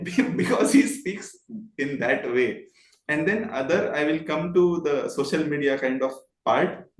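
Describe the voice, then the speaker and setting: A man with an Indian accent explaining things in an interview, politely and expressively, with illustrative examples. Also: fairly high pitch. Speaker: guest, voice modulation trainer. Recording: roomy, echoing sound.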